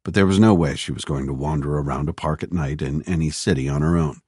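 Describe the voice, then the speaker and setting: Deep-voiced male audiobook narrator. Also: quick pace, darkish tone, slightly rough, no echo, clean studio sound. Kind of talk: scripted narration.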